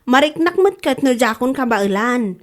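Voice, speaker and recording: A woman's voice: sits high, animated, moderate pace. A woman playing a devoted, hardworking older wife in a radio comedy-drama. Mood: reassuring.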